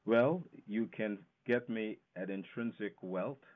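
Male expert guest, low register, emphatic explainer with rhetorical questions, phone-quality audio. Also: slow. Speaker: financial educator, interview guest. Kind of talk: explanatory radio interview talk. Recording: narrow, tinny phone-line sound.

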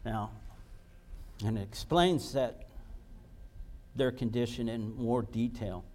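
A man preaching a sermon, reading scripture slowly and pointedly, then explaining it in casual asides and repeating key words for emphasis. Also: mid-range voice, darkish tone. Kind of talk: sermon, Bible teaching.

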